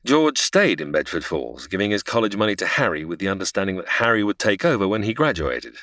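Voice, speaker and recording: A man's voice: low-pitched, very animated, brisk, fairly bright tone, dry recording, clean studio sound. A man playing a patient, slightly flustered angel who narrates and explains a story in a comedy audio drama. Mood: storytelling.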